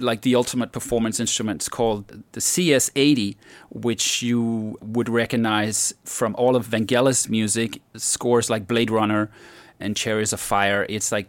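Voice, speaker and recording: Composer man in a dry interview. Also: no room sound. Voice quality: deep voice. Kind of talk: interview answers.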